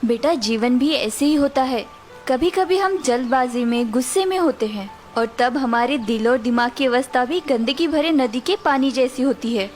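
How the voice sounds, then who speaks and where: A woman voicing an older village mother who gives calm, patient advice to her daughter. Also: high-pitched, moderate pace, faint music behind, lightly acted. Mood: gentle advising.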